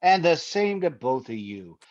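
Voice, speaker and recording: A man's voice: fairly high pitch, big pitch swings, measured pace, a little mellow. Dull-sounding recording; polite male small-town mayor for radio comedy played by an actor, earnest, formal, widely varied intonation. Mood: courteous. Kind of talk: old-time radio comedy acting.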